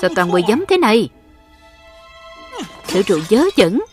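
A woman's voice: medium pitch, sing-song, normal speed, lightly acted. A woman voicing an arrogant young nobleman over music. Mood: irritated. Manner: haughty, threatening, imperious. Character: arrogant, vindictive young nobleman, spoiled and scheming. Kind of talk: voice-over dub of animated fantasy.

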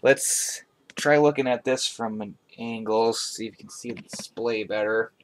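A man giving a casual, conversational product review as a hobbyist, speaking haltingly off camera into a webcam at home. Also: lowish voice, slight background noise. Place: indoors, small room at home. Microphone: webcam on a tripod, speaker off camera.

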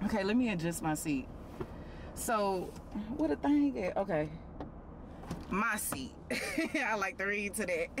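A woman's voice: mid-range voice, animated, a little slow, noisy background. A woman in her thirties from Houston with a Houston accent, talking in a playful, coaxing, slangy way with lots of stammering restarts. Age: 30s. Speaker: prank participant. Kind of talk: casual street chat. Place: outdoors on a street, then inside a car.

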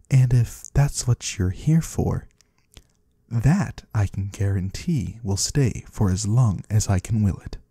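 ASMR roleplay casting: man as soothing, mysterious male trader from the void, very dark husky tone, formal and courteous. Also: on the low side, no room sound, studio quality, heavily acted. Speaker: ASMR performer. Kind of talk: ASMR roleplay, soft-spoken.

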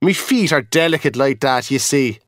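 A man voicing a chatty young dancer, high and plaintive, fussing over his sore feet. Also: animated, moderate pace, dry recording, clean studio sound, natural voice.

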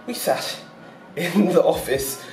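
A man's voice: fairly high pitch, slow, a little mellow, reverberant, distant mic, faint music behind. A man talking casually to his vlog audience, rambling and chatty with lots of ums. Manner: rambling, chatty, with many fillers and short laughs. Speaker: vlogger. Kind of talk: casual daily vlog chat.

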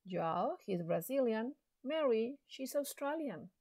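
A woman teaching an English lesson to secondary students, speaking slowly and deliberately with an encouraging classroom manner. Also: a little high, animated, darkish tone, no echo. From Chile. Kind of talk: slow recorded classroom lesson.

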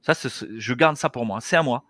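A middle-aged man speaks earnestly as an interview guest, working through his ideas step by step. His voice sits at an ordinary middle pitch. It is picked up by a headset mic on a video call, with a dry close sound. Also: a little fast, fairly bright tone, dry recording. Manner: earnest, gestures with his hands while explaining. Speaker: interview guest, anesthesiologist and author. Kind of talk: explanatory conversational interview talk. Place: small indoor room.

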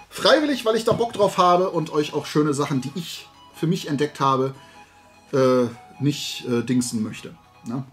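A middle-aged man chatting casually and colloquially as the host of a hobby video, rambling along in a loose, talkative way. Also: mid-range voice. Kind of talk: casual chat, product tasting and recommendations. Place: room at home lined with shelves of toy figures.

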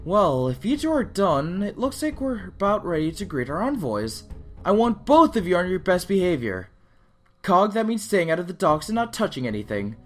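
A man voices a fretful scientist in a comic dub. He sounds prim and proper, with a husky voice that swings widely as he scolds, over faint music. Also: sits high, sing-song, moderate pace. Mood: fussy, exasperated. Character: fretful, fussy male scientist minding the prince. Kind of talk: amateur comic dub acting.

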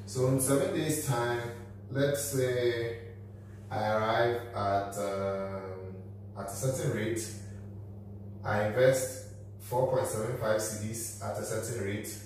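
A man's voice: on the low side, steady tone, slow, darkish tone, recorded from a distance. A man in his thirties giving a methodical whiteboard lesson, talking step by step through the figures in a small office room. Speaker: finance educator. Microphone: camera at a distance. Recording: echoey room, voice from off-mic, faint hum.

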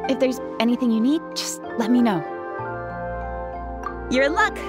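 A woman's voice: fairly high pitch, animated, normal speed, over music, lightly acted. An actress playing a polite, eager and dutiful young female knight in a video game.

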